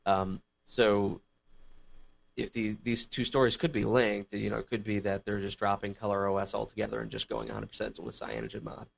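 A man's voice: lowish voice, level tone, brisk, gravelly. A man co-hosting a casual tech podcast, dropping dry, wry quips. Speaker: podcast co-host. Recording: dull lo-fi sound.

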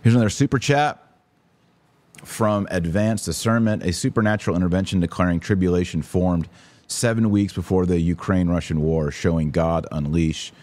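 A low-pitched man hosts a live podcast in a dry, close sound. He talks straight to his listeners with opinionated commentary and responses to their messages. His phrasing is emphatic and preacherly, with an indignant edge. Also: moderate pace, darkish tone, no echo. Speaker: podcast host.

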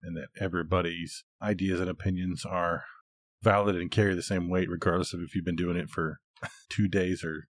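A man's voice: low-pitched, steady tone, fairly quick, no echo, studio quality. A man co-hosting a podcast, talking in a casual, reflective and thoughtful manner. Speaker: podcast co-host.

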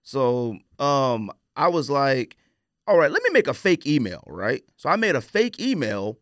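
A man's voice: medium pitch, very animated, measured pace, dry recording, studio quality. A man hosting a podcast, telling stories in a casual, colloquial, opinionated way and acting out quoted exchanges. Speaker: podcast host.

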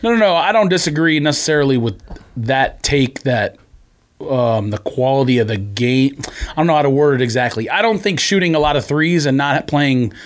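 A man in a casual podcast sports debate. He argues his side and stops to explain his reasoning, with a mid-pitched voice. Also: lively intonation, moderate pace. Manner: argumentative, explanatory. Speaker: podcast co-host.

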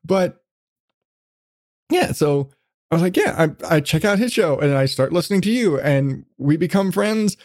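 A man's voice: fairly high pitch, very animated, moderate pace, a little mellow, no echo, studio quality. A man hosting a casual podcast interview, talking in a rambling, self-deprecating way with casual swearing. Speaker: podcast host.

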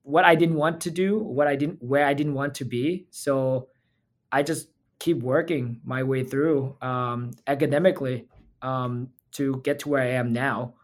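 A man's voice: mid-range voice, fairly even intonation, unhurried. A man from Thailand, a physical therapist talking as a podcast guest in an earnest, reflective way. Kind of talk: casual interview talk.

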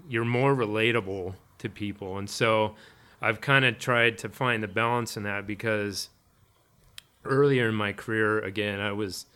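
A man's voice: fairly low pitch, sharp tone, smooth. A man in a casual interview, a firefighter speaking reflectively, rambling with fillers and restarts. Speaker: firefighter guest.

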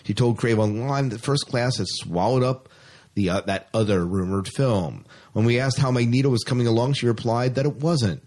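A man's voice: medium pitch, moderate pace, a little mellow. A man hosting a podcast, talking casually and chattily about sci-fi news with an enthusiastic, informal manner. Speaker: podcast host.

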